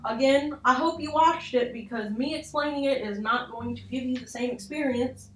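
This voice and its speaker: A woman's voice: high-pitched, moderate pace. A young adult woman giving casual video commentary on horror, informal and sweary, with self-deprecating asides. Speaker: video essay host. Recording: roomy echo, distant mic, music underneath.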